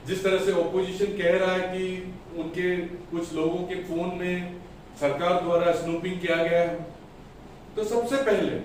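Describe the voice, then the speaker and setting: A man delivers a political press statement as a party spokesperson, emphatically and deliberately in short phrases. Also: high voice, a little mellow. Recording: echoey room, distant mic, faint noise.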